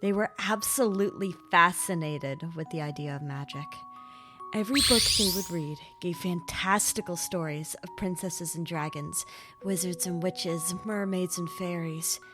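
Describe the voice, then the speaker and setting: A woman voicing a reluctant, gently teasing storyteller in a character voice piece, with a hesitant, soft-hearted delivery. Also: fairly low pitch, moderate pace, lightly acted. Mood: wistful storytelling. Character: reluctant, gently teasing storyteller, self-deprecating about magic. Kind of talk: character voice pack, conversational then fairy-tale narration. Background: background music.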